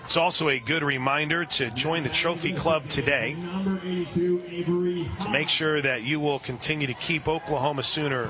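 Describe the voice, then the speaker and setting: A man doing live radio play-by-play for a softball game, chatting between pitches and shouting on big plays. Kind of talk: live radio sports commentary.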